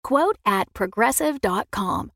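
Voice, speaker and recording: A woman's voice: medium pitch, expressive, slow, creaky, fairly bright tone, no room sound, clean studio sound. A woman reading a scripted commercial with its legal small print. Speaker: advert announcer. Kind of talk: scripted insurance commercial read.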